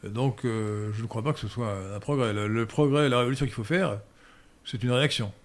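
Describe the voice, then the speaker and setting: A man holds forth in an unscripted question-and-answer session, speaking at an ordinary middle pitch for a man. He lectures in long explanations broken by frequent hesitation sounds, repeated words and self-corrections. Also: fairly quick. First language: French. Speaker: guest answering viewer questions. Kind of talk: unscripted spoken Q&A.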